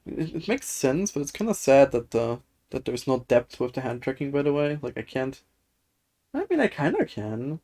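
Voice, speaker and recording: A woman's voice: rumbling low, sing-song, moderate pace, dark tone. A woman game streamer chatting casually and thinking aloud in a rambling, hesitant way. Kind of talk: casual livestream chat. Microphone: streaming setup with webcam. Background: music.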